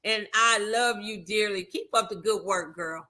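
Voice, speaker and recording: A woman's voice: mid-range voice, unhurried, bright, forceful tone. A woman hosting a motivational morning show, speaking in a fervent, affectionate, preacher-like way and moving between casual talk and prayer. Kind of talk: inspirational talk and prayer, conversational.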